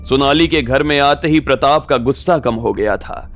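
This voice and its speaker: Medium-pitched male story narrator. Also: moderate pace, fairly bright tone. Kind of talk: scripted audio story narration. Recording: music bed behind the voice.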